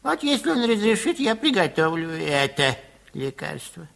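A man playing a grumpy but soft-hearted little old apothecary in a radio play, hesitant and flustered in his speech. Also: high voice, very animated, moderate pace, gravelly, exaggerated voice. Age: older. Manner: hesitant, flustered, sighing muttering. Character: small grey long-nosed old apothecary, grumpy yet soft-hearted. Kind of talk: Soviet radio play acting.

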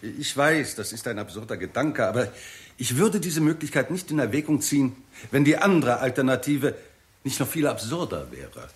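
An actor playing a persuasive, methodical scientist in a 1960s German radio play, speaking deliberately and precisely. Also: male voice, medium pitch, big pitch swings, natural voice. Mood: earnest, persuasive. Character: persuasive, methodical male scientist defending an absurd hypothesis.

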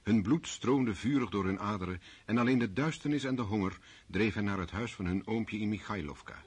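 Husky low-voiced male narrator. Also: a little fast, darkish tone. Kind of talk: scripted drama narration.

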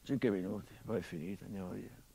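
A middle-aged actor playing the grieving son of a murdered journalist in a theatrical monologue, brooding and bitter, moving between hushed and impassioned delivery. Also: male voice, fairly low pitch, steady tone, fast talker, creaky, a little hiss or hum. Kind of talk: theatrical monologue broadcast on radio.

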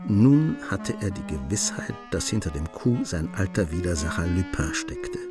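A male narrator guiding a radio drama with measured, even storytelling. His voice sits low, with music clearly running beneath. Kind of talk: scripted narration.

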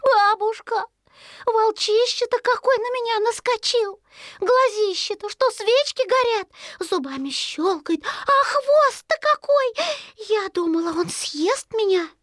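Woman as a high-voiced wailing little girl. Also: expressive, takes it slowly, fairly bright tone, dry recording, clean studio sound, heavily acted. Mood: frightened wailing. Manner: wailing, exclaiming. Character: frightened little granddaughter wailing in a folk tale.

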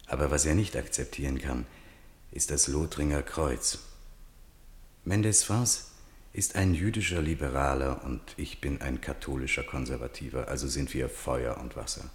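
A man reading scripted literary narration for a radio play in a documentary, report-like style. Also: very deep voice.

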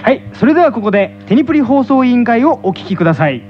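A man's voice: very high-pitched, very animated, fast talker. A man, a voice actor, chatting casually and politely on a radio show. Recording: dull lo-fi radio audio over music.

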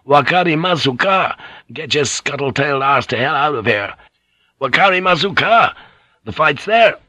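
A man's voice: fairly low pitch, expressive, brisk, a bit bright, husky, dry recording. A man voicing a rugged, blunt ship's pilot who gives terse, direct orders. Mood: urgent shouting.

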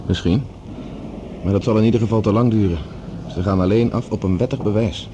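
An actor playing a brisk, probing male scientist who is calmly in charge, in radio drama style. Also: on the low side, background noise, lightly acted. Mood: measured.

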